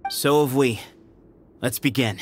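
A man's voice: medium pitch, a little slow, a little hiss or hum, close to an everyday voice. An actor playing a confident young man in a video game, a proud and earnest temple challenger with an assured delivery. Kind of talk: video game character dialogue.

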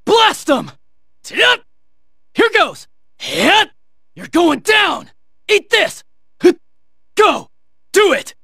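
A man's voice: very high-pitched, drawn-out words, vocal fry, long pauses, dry recording, clean studio sound, heavily acted. An actor playing a cool, resolute teenage swordsman in a fighting video game, speaking in terse, clipped battle lines. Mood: shouting, fierce. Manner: terse, clipped battle barks and calm taunts.

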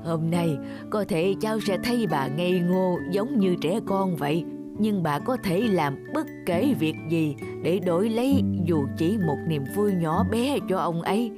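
An actress playing a gentle, devoted elderly wife in a cartoon, speaking earnestly and tenderly. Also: female voice, fairly low pitch, lively intonation, a little slow, over loud music. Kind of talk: cartoon dub acting.

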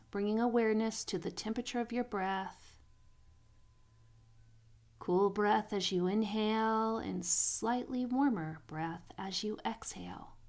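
A woman guiding a sleep meditation in a soothing, unhurried manner, pausing between phrases, with soft, breathy phrase endings. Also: medium pitch. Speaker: meditation guide.

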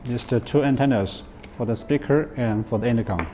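Mellow-voiced man, non-native English, demonstrating. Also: mid-range voice, soft background music. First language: not English. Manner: plain, halting, step-by-step explaining. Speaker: product presenter. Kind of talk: product demonstration. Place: indoor office at a table.

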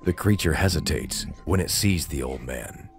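A man's voice: very deep voice, lively intonation, normal speed, vocal fry, exaggerated voice. A man narrating an audio drama in an intense, portentous storytelling manner. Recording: loud cinematic music under narration. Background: loud dramatic music.

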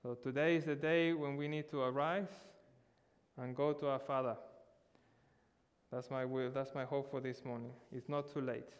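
A man preaching a sermon in earnest, slowing down to stress key words. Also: mid-range voice, steady tone, long reverb tail. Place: church hall.

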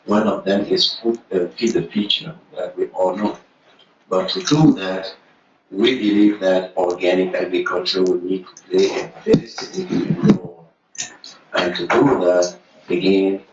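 Deep-voiced Cameroonian man lecturing. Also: a little hiss or hum. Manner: earnest, speaks slowly with fillers. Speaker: program leader giving a conference talk. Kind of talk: conference presentation. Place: conference room. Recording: distant roomy hall recording, dull sound.

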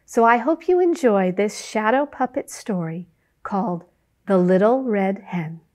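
An American woman, around her thirties or forties, telling a children's story in an expressive, deliberate storybook cadence. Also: mid-range voice. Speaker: library storyteller. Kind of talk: children's storytelling with shadow puppets.

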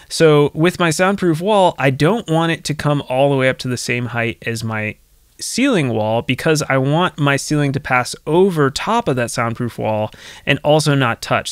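A North American man with a smooth, clean voice presents a how-to tutorial. He explains in a conversational way, recorded close and dry at a desk microphone. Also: mid-range voice, dry recording. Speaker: soundproofing instructor and video host. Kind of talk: instructional screen-share tutorial. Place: indoor home studio, close up. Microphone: desk microphone close to the mouth.

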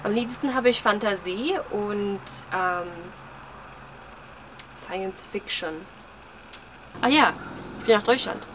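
A woman's voice: medium pitch, slow, pauses often. A twenty-year-old woman chatting casually and rambling to a camera, hesitant and searching for words, with a self-deprecating manner. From Germany, living in New York. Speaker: vlogger. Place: outdoors on a porch among trees. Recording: outdoor recording with clear ambient and traffic noise. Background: outdoor ambience, passing cars.

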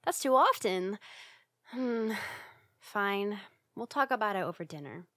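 Woman performing young tsundere roommate, bashful, halting, secretly affectionate, ASMR roleplay, medium pitch, very dry close recording. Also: lively intonation, fairly bright tone, no room sound. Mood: tender. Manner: halting, flustered, breathy sighs.

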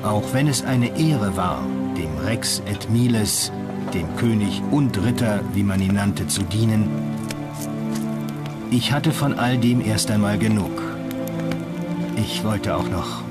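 An actor playing a sober, reflective medieval crusader knight who recounts his campaigns gravely in a radio documentary. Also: fairly low pitch, slow pace. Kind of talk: dramatized first-person memoir in a radio documentary. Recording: loud music under the voice.

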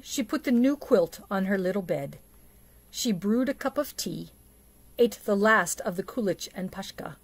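Middle-aged female children's storyteller, unhurried delivery, medium pitch, expressive and deliberate. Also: dry recording. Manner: deliberate, asks the listener questions, dramatic hushes and emphasis. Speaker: storyteller for a church children's program. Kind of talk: expressive picture-book reading and talk to young children. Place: small indoor setup before a plain backdrop.

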